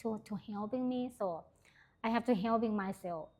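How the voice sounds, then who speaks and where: A young Thai woman from the Northeast, telling her life story in a casual interview, in halting English with a Thai accent and many fillers. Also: a little high, a little mellow. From Thailand, Isan region. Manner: hesitant, many fillers, simple grammar. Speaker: interview guest sharing her testimony.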